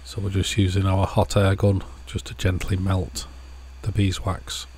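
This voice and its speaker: Low-voiced man narrating an electronics demonstration. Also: monotone, a little slow, darkish tone, slight background noise. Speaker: host of an electronics repair channel. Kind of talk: hands-on demonstration voice-over.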